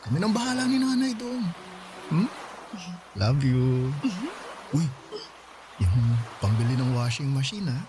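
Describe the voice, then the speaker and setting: A man's voice: mid-range voice, lively intonation, a little slow, pauses often, natural voice. A man acting whiny pampered boyfriend, music behind. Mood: delighted, coaxing. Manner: whiny, coaxing, cutesy pouting. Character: pampered, wheedling young kept boyfriend, vain and materialistic. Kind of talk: Tagalog radio drama acting. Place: studio recording with music behind.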